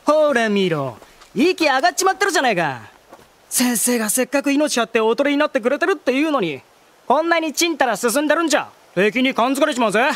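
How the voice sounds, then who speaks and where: A man playing a spoiled, hot-headed teenage noble boy in an anime audio drama, with rough, petulant, slangy speech. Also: very high voice, very animated, fast talker, a bit bright, full character voice. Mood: irritated, boastful.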